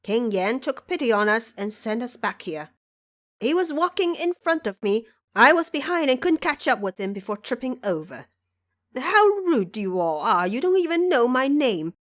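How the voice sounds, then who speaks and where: A woman's voice: fairly high pitch, sing-song, moderate pace, fairly bright tone, pitched above the performer's own voice. A woman voicing an indignant, proud and bewildered village wife in an audio drama, emphatic and scolding.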